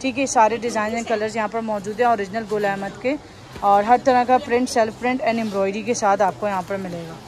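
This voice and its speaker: A woman's voice: mid-range voice, fairly even intonation, fast talker, darkish tone. A woman vlogger, walking through a clothing warehouse and talking upbeat, repeating fillers as she shows the stock. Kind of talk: shopping vlog walkthrough. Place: large busy clothing warehouse indoors. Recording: noisy store ambience.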